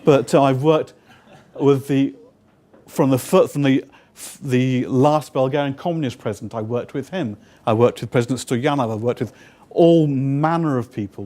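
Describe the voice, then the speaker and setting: A man's voice: mid-range voice, warm tone. Wry British man telling a story. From United Kingdom. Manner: wry, dry humor in anecdotes; conversational, acts out quoted exchanges. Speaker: guest speaker, interpreter. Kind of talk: storytelling talk to a live audience.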